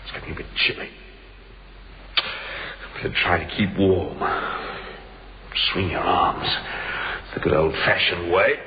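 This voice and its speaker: Trapped British man, deep voice, fussy halting monologue, grumbling, radio drama, dull noisy recording. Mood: anxious. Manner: muttering to himself, halting, fussy. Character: grumbling man trapped alone in a cold store.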